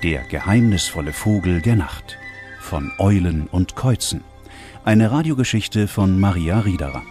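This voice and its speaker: A man's voice: sits low, expressive, normal speed. A man reading a scripted title announcement as a radio announcer. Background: music.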